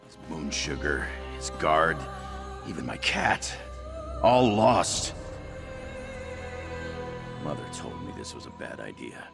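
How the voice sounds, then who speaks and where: A man's voice: very deep voice, sing-song, takes it slowly, gravelly, pauses often, over music, full character voice. A man voicing an anxious, self-doubting young cat-man trader in a fantasy video game, fretful and hesitant. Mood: despondent, fretful.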